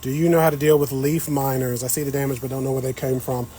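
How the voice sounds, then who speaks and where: A man's voice: mid-range voice, little pitch movement, quick pace, warm tone, slight background noise. A man hosting a casual gardening livestream, chatting with viewers as he works the soil on an outdoor patio. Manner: chatty, talks to viewers while working the soil. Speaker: host.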